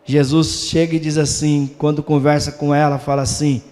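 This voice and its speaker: A man preaching a sermon in rhythmic, repetitive waves, rising suddenly and then drawing lines out slowly. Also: on the high side, little pitch movement, mellow. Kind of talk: Pentecostal-style sermon. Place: church hall. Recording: long reverb of a church hall.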